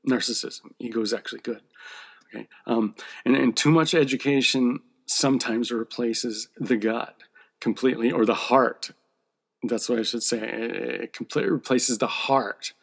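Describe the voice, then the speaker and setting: A man's voice: mid-range voice, gravelly. A man who draws comic books, talking in a casual, rambling monologue that often checks in with "okay?". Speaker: comic book artist.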